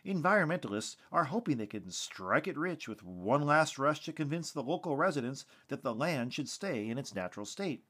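A man's voice: a little high, very animated, moderate pace, few pauses, fairly bright tone, slightly rough, pitched above the performer's own voice, exaggerated voice. A man voicing a female TV field reporter who reports in a serious, concerned and emphatic way. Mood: earnest, concerned. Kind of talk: TV news report.

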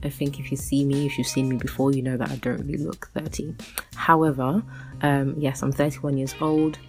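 A woman's voice: a bass voice, level tone, brisk, soft background music. A woman in her thirties hosting a solo podcast, chatting casually and rambling with many fillers and restarts. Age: 30s. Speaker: podcast host.

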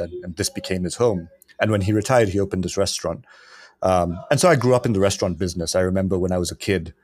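Middle-aged guest, deep husky man, dry close sound, lots of restarts. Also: a little mellow, no room sound. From Kenya. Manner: rambling, many restarts and fillers. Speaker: podcast guest, entrepreneur. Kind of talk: casual interview talk.

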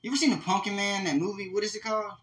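A man with a bright, ringing voice pitched a little high rambles through profane, joking podcast banter. His talk is loose and slangy, and he sounds high. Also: fairly even intonation, racing, reverberant. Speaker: podcast co-host. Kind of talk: casual profane chat.

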